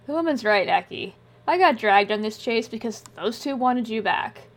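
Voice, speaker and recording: A woman voicing a pushy, blunt male comrade who talks loudly and directly. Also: a little high, lively intonation, normal speed. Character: gruff pushy male comrade with strong regional accent.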